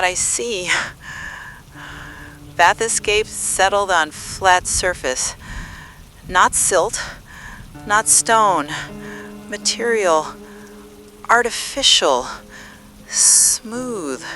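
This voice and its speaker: A woman acting a very slow, desperate deep-sea explorer. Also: bright, forceful tone, faint music behind. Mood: awed. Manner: fragmented, very slow delivery. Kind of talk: radio drama log transmission.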